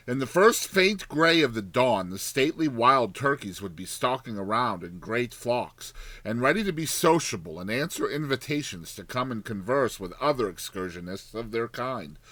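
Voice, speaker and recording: A man's voice: mid-range voice, a bit bright, dry recording. A man narrating a classic short story as a dramatized audiobook, with theatrical, emphatic phrasing.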